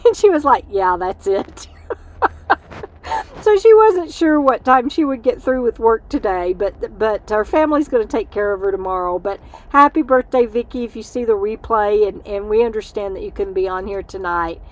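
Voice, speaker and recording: A woman's voice: mid-range voice, animated, normal speed, rough recording. A middle-aged woman, hosting a casual livestream from her RV, rambling and chatty, tired and losing her voice from lack of sleep. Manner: rambling, chatty, talks to her dog mid-stream. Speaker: livestream host. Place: inside an RV.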